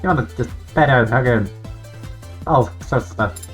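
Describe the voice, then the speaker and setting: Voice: mid-range voice, a little fast. A game streamer commenting live on a playthrough, with game music behind the voice. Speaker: streamer playing and reacting to a game.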